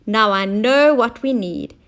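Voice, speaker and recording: A woman's voice: a little high, lively intonation, a little slow, fairly bright tone. A woman voicing a noisy, eager boy inventor in a children's story, proud and emphatic.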